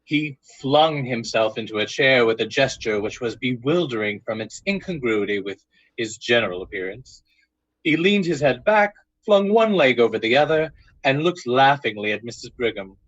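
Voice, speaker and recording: A man's voice: medium pitch, animated, moderate pace, a bit bright, dry recording. A man narrating a literary radio drama from a script, in a muffled, dry recording.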